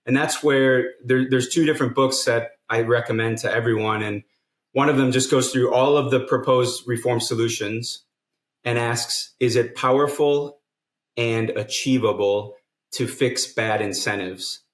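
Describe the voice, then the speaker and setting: A man's voice: mid-range voice, unhurried. Earnest man explaining, roomy sound. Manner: earnest, explanatory, builds arguments step by step. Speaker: guest, nonprofit co-founder. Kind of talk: podcast interview. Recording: roomy echo.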